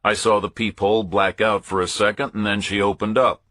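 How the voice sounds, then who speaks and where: A man's voice: fairly low pitch, flat delivery, fairly quick, fairly bright tone, dry recording. A man reading a first-person thriller audiobook as a scripted narrator, in terse, clipped phrases.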